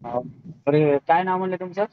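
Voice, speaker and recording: A man's voice: sits high, fairly quick. A man on an informal phone call as a customer, hesitant and roundabout in his questions. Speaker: customer calling about a solar pump delivery. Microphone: telephone. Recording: dull lo-fi phone call, faint noise.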